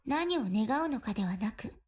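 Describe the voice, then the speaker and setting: A courteous, sly young shrine girl for a visual novel: high voice, brisk delivery, music underneath. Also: animated, slightly rough. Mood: composed. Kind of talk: Japanese visual novel voice acting. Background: game music.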